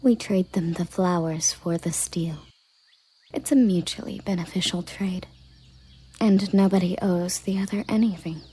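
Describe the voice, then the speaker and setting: Girl doing elf guard captain asmr, lowish breathy whisper, real slow, dutiful and matter of fact. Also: mellow. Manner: formal, measured, matter-of-fact. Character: female elven guard captain, dutiful, formal, earnest.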